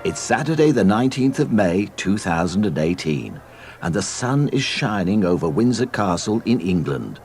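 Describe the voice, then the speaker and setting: Low-voiced man narrating a read-along storybook. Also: big pitch swings, normal speed, music in the background.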